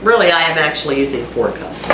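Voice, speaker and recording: Home cook host with a cold, woman, stuffy nasal tone, chatty and self-mocking, echoey kitchen, mic set back, loud sizzling pan. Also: sits low, animated, fast talker, distant mic, a little hiss or hum. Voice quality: congested and nasal. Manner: chatty, self-deprecating asides to viewers. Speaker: cooking channel host. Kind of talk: casual home cooking demonstration. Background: frying sizzle and a dishwasher running.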